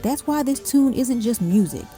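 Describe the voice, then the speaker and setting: A woman's voice: a little high, big pitch swings, moderate pace, warm tone. A woman reading scripted narration for a music countdown, delivered in emphatic, short punchy phrases. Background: faint music under the voice.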